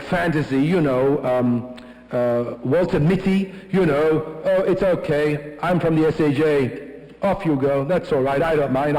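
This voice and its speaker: A rabbi preaching a sermon in a tall, fairly high voice, leaning hard on key words and rising sharply for emphasis. He sounds from a synagogue where his words ring out with a long, echoing tail. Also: darkish tone. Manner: emphatic, sweeps up high for stress, quotes Hebrew texts. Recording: echoey hall, long reverb.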